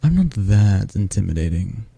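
A man as a gravelly, low, flirty half-dragon. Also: very animated, dry recording, exaggerated voice. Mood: gentle, reassuring. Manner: flirty, gentle, teasing, halting. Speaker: roleplay voice actor. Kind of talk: intimate ASMR roleplay.

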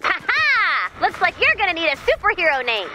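A woman's voice: extremely high pitch, huge pitch swings, brisk, background noise, full character voice. A woman playing an enthusiastic, quippy, teasing female engineer who guides missions in a video game. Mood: teasing, fond. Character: peppy, geeky female engineer guiding a mission. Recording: game music behind the voice.

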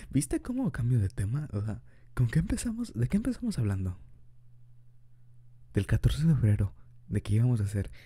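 A man's voice: mid-range voice, big pitch swings, moderate pace, long pauses, warm tone, husky. A young man improvising an ASMR boyfriend roleplay, rambling chattily and laughing often into a close mic. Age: young adult.

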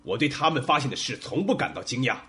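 A man's voice: medium pitch, sing-song, quick pace, bright, forceful tone, slightly rough, heavily acted. An actor playing an earnest, brusque male police detective in a radio drama, speaking tersely. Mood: grave. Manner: urgent, questioning, terse. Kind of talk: Mandarin radio drama acting.

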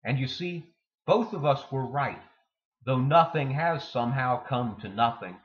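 A man's voice: medium pitch, a little slow. Man reading poetry, dull lo-fi sound. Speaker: poetry reader. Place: indoor room with bookshelves.

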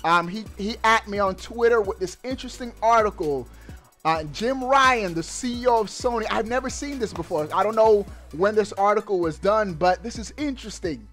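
A man in his thirties giving excited, slangy gaming news commentary into a headset mic, emphatic and drawing out his words. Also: high-pitched, faint music behind. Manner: emphatic, animated delivery with drawn-out words, slangy. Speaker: gaming YouTuber. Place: indoors at a streaming setup.

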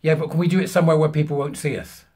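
A British man in his fifties telling an anecdote to camera, emphatically acting out both sides of a conversation in a husky voice. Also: fairly high pitch, fairly even intonation, racing, darkish tone. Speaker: vlogger. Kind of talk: animated anecdote told to camera. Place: small furnished room at home, indoors.

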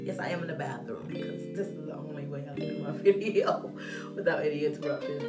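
Young woman from florida, animated storytime, echoey bathroom, phone a bit far off. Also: low-pitched, quick pace. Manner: animated, gestures a lot, slangy asides to viewers. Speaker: vlogger. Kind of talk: casual storytime. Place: small bathroom indoors. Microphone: phone on a stand, mid-distance. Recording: echoey bathroom, off-mic, faint music.

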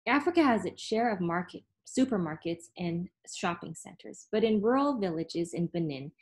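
A woman in her thirties reading a picture book aloud in a storytelling style, with a slightly husky voice. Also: mid-range voice. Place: small room at home, on a sofa.

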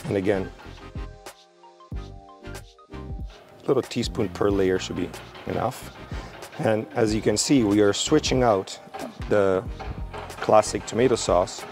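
A young man cooking outdoors at a barbecue, relaxed and explaining each step as he works. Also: on the low side, takes it slowly, vocal fry, pauses often, music in the background. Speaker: cooking show chef. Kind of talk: cooking demonstration. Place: outdoor garden by a barbecue grill.

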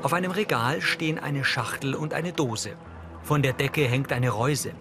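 A man's voice: medium pitch, a little slow, music in the background. A man reading scripted scene descriptions for a TV series in a neutral, matter-of-fact way. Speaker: audio description narrator.

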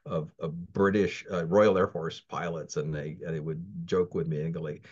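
This is a man in his sixties who grew up in the Philippines but talks with an American accent. He rambles through his stories with many fillers and restarts, in a somewhat low voice. The audio has the muffled, lo-fi sound of a video call. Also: steady tone, moderate pace. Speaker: interview guest. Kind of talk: casual interview. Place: home, virtual background.

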